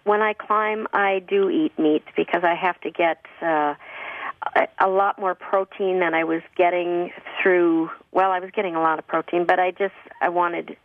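A woman with a husky, slightly low voice speaks in earnest, plain-spoken answers, often restarting her sentences. She comes through a tinny phone line and sounds distant from the mic, with a dry sound. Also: fairly even intonation, moderate pace, no echo. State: husky from a cough. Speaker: guest on a call-in radio show. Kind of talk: relaxed conversational interview answers.

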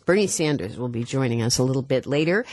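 A woman hosting a live radio talk show, earnest and measured as she introduces a guest. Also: a bass voice, lively intonation, darkish tone, no echo, clean studio sound. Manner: earnest, measured, reads quotes aloud. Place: radio studio.

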